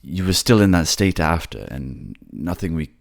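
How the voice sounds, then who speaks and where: A man's voice: deep voice, expressive, moderate pace, creaky. A man playing a kind, remorseful young prince in a fantasy audio drama, speaking hesitantly. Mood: somber, halting. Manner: hesitant, stammering.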